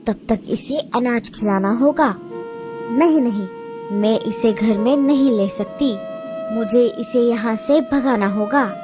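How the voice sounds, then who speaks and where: A woman's voice: on the high side, slow pace, over music, voice pushed down. A woman voicing a selfish female bird who turns away a neighbour in a cartoon story. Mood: scheming. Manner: curt and dismissive.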